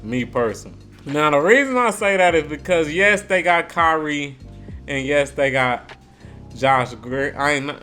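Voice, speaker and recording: A young man with a sore throat giving laid-back, slangy sports commentary and thinking out loud as a video host. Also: medium pitch. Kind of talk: casual sports ranking commentary.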